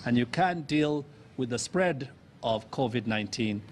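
A man speaking as an official at a press briefing, explaining deliberately. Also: mid-range voice, animated, a little hiss or hum. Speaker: government official. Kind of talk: press statement.

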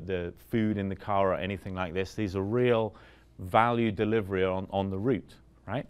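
A man's voice: on the low side, smooth. A man in his forties giving a talk at a conference, speaking casually and often checking in with the audience with "right?". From Vancouver, Canada. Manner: conversational, asks the room questions, frequent "right?". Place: conference room in front of an audience.

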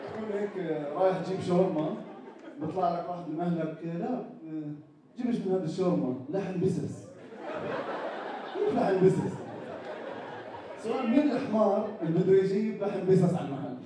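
A young man with a Jordanian Arabic accent performing an animated stand-up comedy routine on a small stage, talking into a handheld mic and acting out characters. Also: sits high, brisk, pauses often. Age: young adult. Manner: animated, acts out characters in raised voices. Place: small indoor stage with a live audience. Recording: echoey hall recorded from far off, with crowd noise.

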